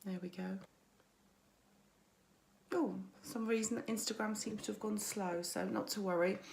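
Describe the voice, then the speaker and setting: A woman coach streams live to her followers. Her voice is croaky and gravelly. She chats warmly and greets viewers by name between her points. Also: medium pitch, steady tone, moderate pace, a little hiss or hum. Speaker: mindset coach. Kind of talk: weekly social media livestream.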